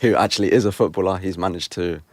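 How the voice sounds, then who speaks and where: A man from London answering interview questions as a podcast guest, measured and polite. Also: deep voice, steady tone, no echo.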